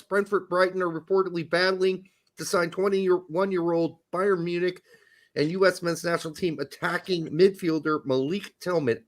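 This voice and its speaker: A man with a high, very lively voice that swings up and down. He hosts a soccer podcast and explains points in a teacherly way. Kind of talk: casual podcast chat.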